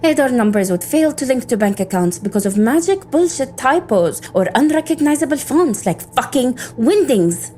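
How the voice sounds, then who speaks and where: An actress playing an irritable, sarcastic cybersecurity operative in an audio drama, with a dry, cynical way of talking. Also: female voice, high voice, big pitch swings, normal speed, hardly any pauses, mellow, slight background noise. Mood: irritated.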